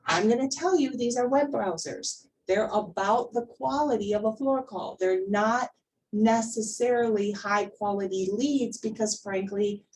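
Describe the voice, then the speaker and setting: A woman's voice: on the high side, unhurried, a little mellow. A woman leading an informal workplace class, talking conversationally and emphatically, checking in with the group and repeating words to drive points home. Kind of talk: informal training presentation with questions to the group. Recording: distant mic, faint background noise.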